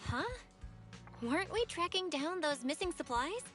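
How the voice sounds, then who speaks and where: A woman's voice: high voice, animated, moderate pace, a bit bright, faint music behind. An actress playing an inquisitive, wary female companion in a video game, questioning and skeptical. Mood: puzzled. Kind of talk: video game story voice acting.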